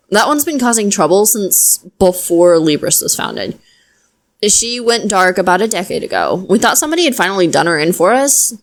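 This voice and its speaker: Woman acting a caring, frank witch rescuer. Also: lowish voice. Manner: gentle, reassuring, conversational. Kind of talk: intimate audio roleplay acting, addressing listener.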